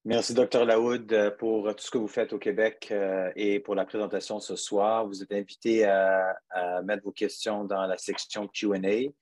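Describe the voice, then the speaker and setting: A man moderating a conference webinar, hesitant and filling pauses with "euh". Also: fairly low pitch, flat delivery, darkish tone, dry recording. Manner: hesitant, many fillers. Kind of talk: hosting a webinar.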